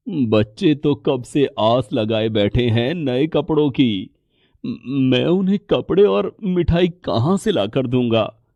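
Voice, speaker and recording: Cartoon everyman, male actor: poor humble shopkeeper, earnest, slow, lightly muffled dry recording. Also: fairly high pitch, dark tone, no echo, exaggerated voice. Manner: plaintive, halting, earnest. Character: poor, humble shopkeeper, anxious pleading family man. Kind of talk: Hindi moral story cartoon voice acting.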